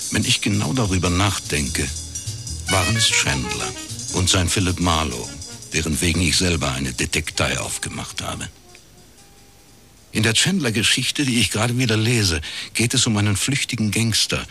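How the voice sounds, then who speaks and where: An actor playing an educated, wry private detective in a German radio crime drama, with a laconic, deadpan delivery. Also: male voice, low voice, normal speed, faint music behind, full character voice. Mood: reflective. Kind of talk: German radio crime drama, first-person narration and dialogue.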